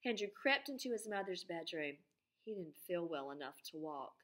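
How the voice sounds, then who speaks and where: A woman's voice: fairly low pitch, animated, normal speed, fairly bright tone. A woman teacher reading a children's story aloud expressively, drawing out key words and putting questions to her pupils.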